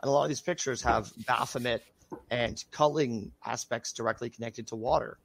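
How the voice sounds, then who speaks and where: A man speaking as a researcher guest in a casual interview, his voice raspy from being sick, hesitant with many fillers. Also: medium pitch, normal speed, poor audio.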